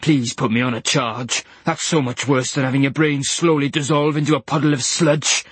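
A man's voice: medium pitch, fairly even intonation, moderate pace, husky, dry recording, close to an everyday voice. A man voicing a bitter, paralysed former pilot who snarls and sneers at his carers.

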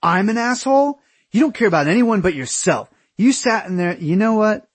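An indignant young man played by a male narrator scolds loudly in a raised, high-pitched voice. He sounds exasperated and repeats himself as he complains, like a character in an audiobook story. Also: sing-song, a little slow, exaggerated voice.

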